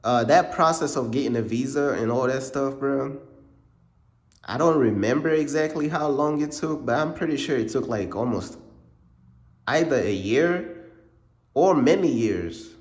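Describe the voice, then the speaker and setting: A man's voice: medium pitch, a little slow. A young man from Uganda telling his life story in a casual, rambling way, calling the listener "bro" over and over. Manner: laid-back, rambling, says "bro" constantly. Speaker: faith vlogger. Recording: echoey room.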